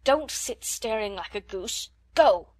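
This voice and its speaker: A woman's voice: mid-range voice, moderate pace, a bit bright, dry recording, close to an everyday voice. A woman voicing a stern middle-aged headmistress who gives cold, scornful commands. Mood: furious.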